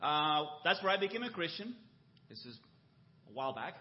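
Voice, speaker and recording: A man's voice: a little high, big pitch swings, normal speed. Older man giving a church talk, muffled. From United States. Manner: conversational with many fillers and tag questions. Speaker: church mission director. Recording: muffled lo-fi recording.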